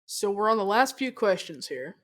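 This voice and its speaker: A woman's voice: medium pitch, very animated, moderate pace, a bit bright. A woman hosting a casual interview, speaking in a blunt, emphatic way with some swearing. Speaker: interview host.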